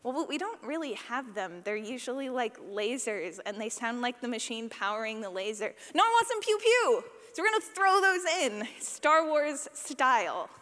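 A woman giving a live workshop talk as a sound designer, animated, playful and informal, acting out examples as she goes. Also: fairly high pitch, moderate pace, few pauses. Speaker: session presenter, podcast sound designer. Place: large indoor session room. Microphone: presentation microphone. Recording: echoey hall, live presentation.

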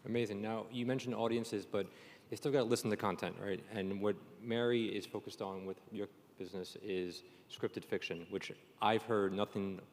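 A man moderates a panel in a large, echoing hall. His voice is on the low side, and his style is conversational. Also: fairly even intonation, normal speed, slight background noise. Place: large room at a conference panel. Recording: echoey hall with long reverb.

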